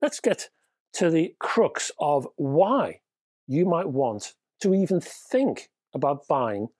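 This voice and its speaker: A man's voice: a little high, very animated, slow pace, darkish tone, husky, dry recording. Stuffy-nosed man in his fifties, casual wry reviewer, dry close mic. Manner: chatty, wry, emphatic, leans on key words. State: stuffy nose, wheezy from a cold. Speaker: hi-fi reviewer, channel host. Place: home room lined with record shelves. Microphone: lapel mic.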